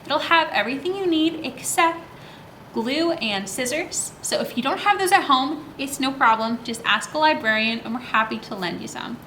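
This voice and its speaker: A woman with a high, bright voice leads a story time for young children. She talks in an animated, child-directed way, posing questions and answering them as she goes. There is some steady background noise in the recording. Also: moderate pace, low-quality recording. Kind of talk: read-aloud and craft instruction for young children.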